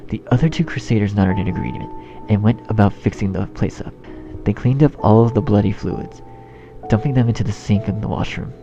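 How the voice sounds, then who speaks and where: A man's voice: lowish voice, fairly quick, gravelly, lo-fi sound. A man reading a horror story as narrator in a scripted style. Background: background music.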